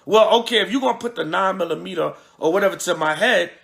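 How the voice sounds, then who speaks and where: High-pitched, creaky man preaching informally. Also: fairly bright tone. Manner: emphatic, repetitive, halting with restarts. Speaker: priest and religious group leader. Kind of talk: livestreamed address mixing preaching and informal talk.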